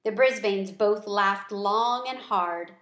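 A middle-aged woman reads a children's story aloud at an unhurried pace. Her pitch is average and her phrasing is deliberate. The room adds noticeable echo. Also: a bit bright. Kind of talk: expressive children's book read-aloud. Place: small room at home. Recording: roomy home recording.

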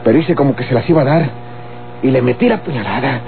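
A man playing a callous, simple young Mexican country henchman in a radionovela, halting and matter-of-fact. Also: mid-range voice, close to an everyday voice. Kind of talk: Mexican golden-age radionovela acting. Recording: tinny old radio, faint hum.

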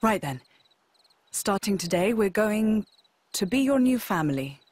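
Kind young warrior girl in a game, slow and soft-edged husky voice, really dry recording. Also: mid-range voice, animated, no room sound. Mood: warm, reassuring. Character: kindly young woman warrior, gentle and reassuring. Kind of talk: video game cutscene acting.

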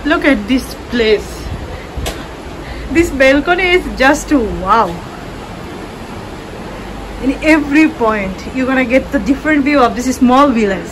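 A woman's voice: sits high, expressive, slow, long pauses. A young adult woman, narrating a casual walking tour as a travel vlogger. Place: outdoors in village streets and balconies. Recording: clear outdoor ambient noise, passing traffic.

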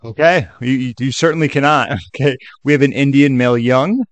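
A man's voice: mid-range voice, brisk, dry recording. A man hosting a casual screen-share tutorial in a chatty way, with joking asides. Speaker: tutorial video host.

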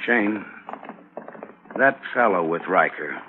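An actor playing a plain-spoken, firm and principled homesteader in a radio drama. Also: male voice, deep voice, animated, slow pace, a little hiss or hum. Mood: concerned. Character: principled, steadfast homesteader, family man. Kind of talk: 1950s radio drama acting. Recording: tinny old radio recording.

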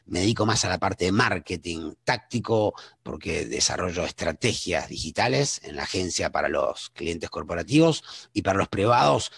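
A man in his forties gives an online seminar presentation in a low, gravelly voice with a husky edge. He talks conversationally, with fillers and restarts. The sound is dry and close. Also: a bit bright, no room sound. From Argentina. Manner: conversational, self-introducing, many fillers and restarts. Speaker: webinar presenter.

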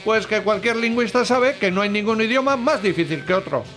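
A man giving scripted documentary narration in an expressive, rhetorical way. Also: high-pitched, brisk. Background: loud music.

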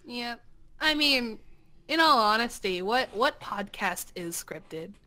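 A woman's voice: a little high, animated, slow pace, bright, forceful tone. A woman co-hosting in unscripted casual chat, playful and self-deprecating. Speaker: co-host, voice actor.

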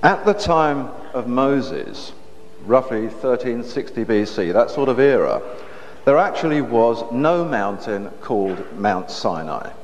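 A middle-aged man giving a public lecture on a lapel mic, talking in a measured way with frequent restarts and repeated words. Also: mid-range voice, big pitch swings, faint music behind. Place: stage with curtain backdrop, indoors. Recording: dull lo-fi hall recording with faint hiss.

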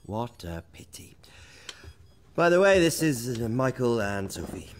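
An actor playing a vain, quick-witted young male wizard in a radio drama, sardonic and theatrical. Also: mid-range voice, sing-song, a little hiss or hum. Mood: irritated.

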